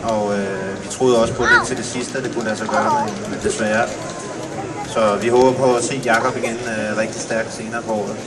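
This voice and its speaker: Flat-toned Danish man over loud crowd noise. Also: on the low side. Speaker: team sports director being interviewed. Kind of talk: live interview. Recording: distant mic, very loud crowd.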